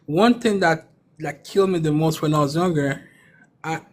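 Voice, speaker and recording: A man with a Haitian accent shares a personal story in casual chat. His voice sits a little high and he often stumbles and repeats words. There is faint background noise. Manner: halting, stammering repetitions.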